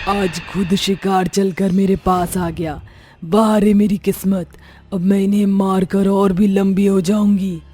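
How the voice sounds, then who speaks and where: A woman playing a boastful, menacing man-eating witch in a horror story, taunting theatrically with drawn-out threats. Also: medium pitch, flat delivery, dark tone, soft background music, close to an everyday voice. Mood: gloating. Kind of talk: dramatized Hindi horror story acting.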